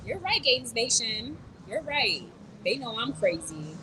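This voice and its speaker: A woman's voice: a little high, big pitch swings, a little slow. A young American woman chatting casually on a phone live stream, blunt and outspoken, answering her chat. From United States. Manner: chatty and blunt, mimics others in quotes, swears. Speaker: vlogger reading live chat. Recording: noisy with passing vehicles.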